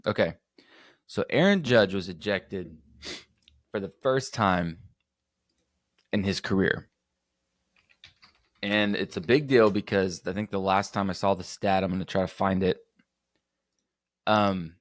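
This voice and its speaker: Slightly hoarse man hosting a sports podcast. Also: sits low, no room sound, studio quality. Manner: rambling, self-correcting, chatty. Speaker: podcast host. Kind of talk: casual sports podcast talk.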